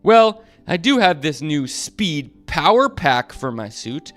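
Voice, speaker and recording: A man voicing a confident adult male superhero in a children's storybook, determined to go faster. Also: a little high, big pitch swings, fairly bright tone, faint music behind, exaggerated voice.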